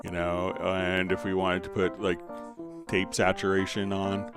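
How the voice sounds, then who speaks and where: A man hosting a live stream, talking viewers through software in a casual, conversational, explanatory way. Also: low voice, completely flat delivery, a little slow, over music. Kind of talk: casual live tutorial and chat.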